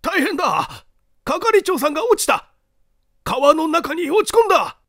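A rough country construction laborer in an audiobook drama, played by a man. His talk is blunt and colloquial, pitched fairly high for a man, with big swings up and down. The sound is close and dry. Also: very animated, a little slow, a bit bright, no room sound, exaggerated voice. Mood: alarmed shouting. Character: rough rustic construction laborers, shocked and gossiping. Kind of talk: dramatic audiobook character acting.

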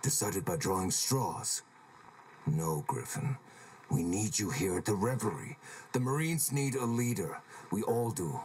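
A loyal soldier speaks earnestly and gravely in a game audio log. His voice sits fairly low, with faint noise behind it. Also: animated, measured pace, darkish tone. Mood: protesting. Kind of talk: video game audio drama.

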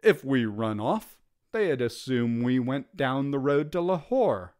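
A man's voice: mid-range voice, animated, slow pace, darkish tone. Man reading as brash, cocky soldier. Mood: persuasive. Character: cocky, ambitious British soldier dreaming of riches.

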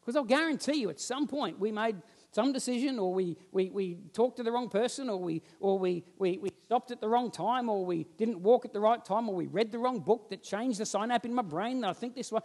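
A man, preaching a sermon on a church stage and telling stories with lively gestures while he walks about. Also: sits high, brisk. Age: 40s. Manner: animated storytelling, roams the stage gesturing. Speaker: pastor. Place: church stage with band instruments, indoors.

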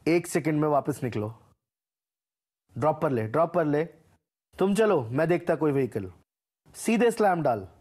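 Husky male gamer for short, punchy voice-pack catchphrases, pitched fairly high and very animated. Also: measured pace, pauses often. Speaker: gaming creator voicing a game voice pack. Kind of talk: short scripted gaming callouts and catchphrases.

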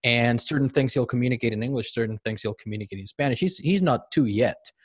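A man's voice: medium pitch, quick pace, breathy edge, dry recording. A man in his forties with a Mexican accent, hosting an interview over a video call at a stand microphone, chatty and often restarting sentences. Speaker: podcast host.

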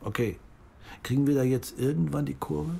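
An actor playing an impatient older writer who dislikes technology. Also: male voice, medium pitch, moderate pace, vocal fry, mellow, breathy edge. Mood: grumpy. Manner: grumpy, impatient. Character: older male writer Fritz, tech-averse and impatient.

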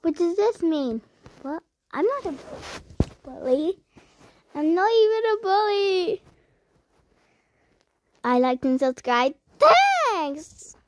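A young girl hosting her own channel, chatting as she reads viewer comments aloud and answers them with sudden high squeals. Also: very animated, slow, long pauses. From United Kingdom. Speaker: channel host. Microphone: phone.

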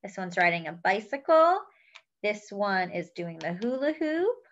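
A woman's voice: mid-range voice, sing-song, unhurried, muffled recording. A young woman reading a picture book aloud to children, cheerful and sing-song, counting along, recorded on a webcam in a small room at home. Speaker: children's story time reader.

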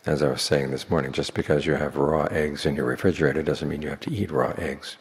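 A man speaking as a Buddhist monk, leading a guided meditation in slow, measured phrases with long pauses. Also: extremely low pitch, steady tone. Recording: long hall reverb.

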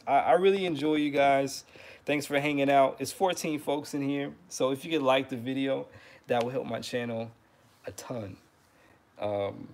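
A man's voice: medium pitch, a little hiss or hum. Friendly man chatting on a home livestream. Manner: relaxed and friendly, repeats words, many fillers. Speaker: trumpet teacher and livestream host. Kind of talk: casual livestream talk. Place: living room at home.

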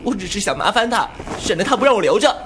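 Cheeky high-pitched young rogue played by a man. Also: lively intonation, a bit bright, slight background noise. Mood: exasperated. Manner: cheeky, quick to mock. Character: glib, sharp-tongued, teasing young martial-arts rogue. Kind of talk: wuxia audio drama acting. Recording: music under the voice.

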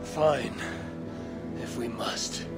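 A woman's voice: a bass voice, drawn-out words, heavily acted. An actress playing a blunt, suspicious and proud Viking warrior woman in a video game. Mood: guarded. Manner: blunt, curt, challenging. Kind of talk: video game cutscene acting. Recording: game audio with faint music. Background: game soundtrack music.

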